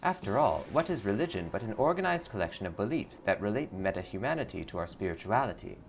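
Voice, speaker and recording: A man's voice: fairly low pitch, big pitch swings, fast talker, a little hiss or hum. A man voicing a blissful, eager cult greeter in a video game, sweetly pious and salesy. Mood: welcoming, zealous.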